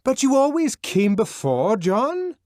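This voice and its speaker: A man voicing an anxious, whining man who shouts pleas through a locked door, near tears. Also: very high-pitched, huge pitch swings, takes it slowly, no room sound, clean studio sound, voice pushed up, heavily acted. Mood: pleading wail.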